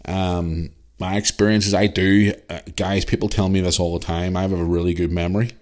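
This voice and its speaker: Northern Irish man in his forties, solo podcast host, very low voice, rambling chat with fillers. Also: little pitch movement, moderate pace, darkish tone. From Northern Ireland. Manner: rambling, chatty, with many fillers and restarts. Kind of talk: casual solo podcast, reading listener email.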